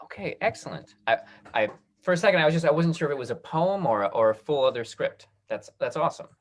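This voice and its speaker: A man's voice: fairly high pitch, lively intonation, quick pace. A man hosting an online question-and-answer session, upbeat and encouraging. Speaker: talk back host. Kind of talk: video call Q&A. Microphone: video call.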